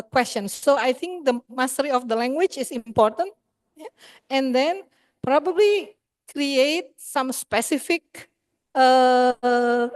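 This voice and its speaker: A woman's voice: sits high, smooth, no echo. A middle-aged woman on a seminar panel, answering in a hesitant way with many fillers and restarts.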